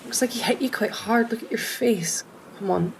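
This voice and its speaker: A woman acting in a fan audio drama as a brisk, protective, matter-of-fact member of a military unit, with some background noise. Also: on the high side, creaky. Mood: concerned.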